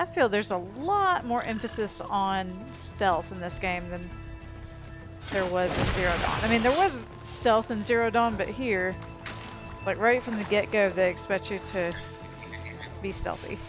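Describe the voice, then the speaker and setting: Woman, casual gameplay commentary, chatty, thinking aloud, medium pitch, game music behind. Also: lively intonation, measured pace, pauses often, poor audio. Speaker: video game streamer. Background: video game music and sounds.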